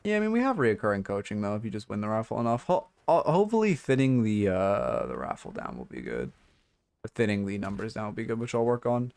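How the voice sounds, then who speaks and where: A hoarse man giving blunt, rambling game coaching notes on a livestream, full of fillers and restarts. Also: fairly low pitch, huge pitch swings, quick pace, darkish tone, no echo. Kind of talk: casual livestream coaching talk.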